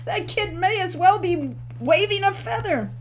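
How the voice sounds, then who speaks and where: A woman voicing a coarse older male stable master in an audiobook, loud and jeering. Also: very high-pitched, animated, a little slow, a little hiss or hum, voice pushed up, heavily acted.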